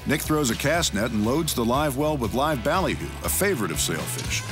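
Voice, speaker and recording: A man's voice: mid-range voice, big pitch swings, a little fast. A man delivers scripted narration as host of a fishing show. His mid-range voice sits over prominent background music. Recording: music under the voice.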